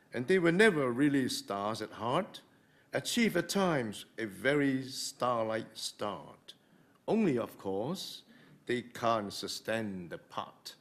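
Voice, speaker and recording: An older man reads verse aloud to an audience. His delivery is slow and measured, with a mid-range pitch. He speaks into a podium microphone in a meeting room. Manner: measured, deliberate. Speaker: poetry reader. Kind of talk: reading poems aloud to an audience. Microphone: gooseneck podium microphone.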